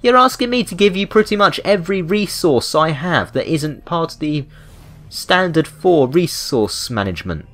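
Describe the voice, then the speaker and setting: A man's voice: fairly high pitch, lively intonation, moderate pace, a bit bright. A British man giving rambling, unscripted video game commentary with a wry, mock-indignant manner. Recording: music behind the voice. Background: background music.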